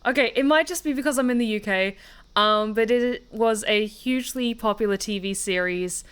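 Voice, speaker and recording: A woman's voice: on the high side, moderate pace, fairly bright tone, clear, resonant tone. A woman streaming a game, chatting casually and rambling with fillers and swearing. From Australia. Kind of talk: casual let's-play commentary. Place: at home, in the UK.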